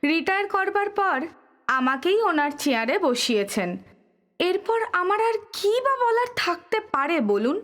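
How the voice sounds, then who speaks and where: An actress playing a stern, defensive school principal in an audio drama, formal and crisp in her manner. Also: very high voice, very animated, normal speed, a bit bright, full character voice. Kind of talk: Bengali audio drama acting.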